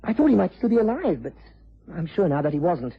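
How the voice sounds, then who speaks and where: An actor playing an earnest, agitated young upper-class British nephew in a vintage radio drama. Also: male voice, high voice, big pitch swings, a little fast, vocal fry, breathy edge, highs cut off, heavily acted. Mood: shocked, urgent. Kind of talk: 1950s-60s British radio drama acting.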